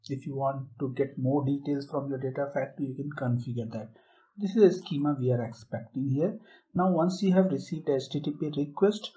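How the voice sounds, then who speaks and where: A man presenting a software tutorial, explaining a screen-share demo step by step with occasional fillers. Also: medium pitch, poor audio.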